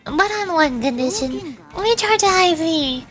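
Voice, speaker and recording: A woman's voice: very high voice, animated, unhurried, music in the background. A woman voicing a shrewd, sassy young cat-girl mercenary in a video game, teasing and nonchalant. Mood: smug, nonchalant. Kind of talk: video game dialogue read aloud in character.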